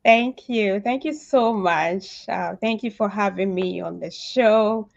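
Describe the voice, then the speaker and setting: Woman in her thirties, Ghanaian accent, gravelly creak, middle pitch, casual storytelling, muffled lo-fi sound. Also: slow pace. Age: 30s. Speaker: interview guest.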